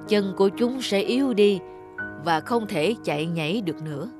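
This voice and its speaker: A woman plays an old male tree spirit in a cartoon fable, with a lowish voice. He is wise and patient, explaining things in a measured, teacherly way. Music plays clearly behind the words. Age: older. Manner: measured, didactic, gently instructive. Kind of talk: animated fable dialogue, teaching.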